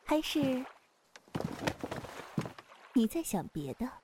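A woman's voice: high-pitched, big pitch swings, drawn-out words, background noise, full character voice. A woman playing a commanding, self-assured female martial sect leader in a Chinese wuxia audio drama. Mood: teasing, reproachful. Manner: imperious, self-assured, clipped orders.

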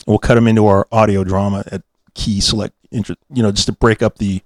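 A man's voice: fairly low pitch, level tone, quick pace, dry recording. Rambling man chatting casually, close dry sound. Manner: hesitant, meandering, jokey. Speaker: podcast co-host. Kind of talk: casual rambling after-show banter.

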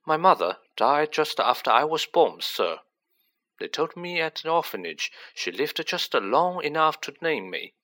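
A man's voice: a little high, sing-song, a little slow, sharp tone, pitched above the performer's own voice, exaggerated voice. A man voicing a nervous, polite teenage orphan schoolboy with an earnest, hesitant manner in a storybook reading. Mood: earnest, subdued.

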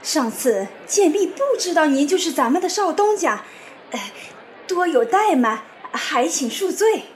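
Period radio play: actress as fawning hostess, effusive, very animated high pitch. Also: female voice, a little slow, slight background noise. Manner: obsequious, effusive. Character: fawning, apologetic female servant of a pleasure house. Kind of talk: Chinese period audio drama acting.

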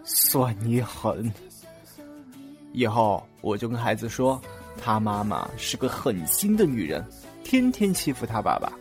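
An actor playing a good-natured, lovestruck young boyfriend with a mock-dramatic manner in a Mandarin radio drama. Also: male voice, on the low side, big pitch swings, unhurried, soft background music. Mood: mock-suffering. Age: young adult.

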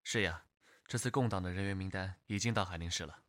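Guy playing a loyal spy office secretary, deep-ish sharp voice, respectful, reporting in a radio play. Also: fast, no room sound, studio quality. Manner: deferential, crisp reporting. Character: dutiful male secretary to a spy chief. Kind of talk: radio drama acting.

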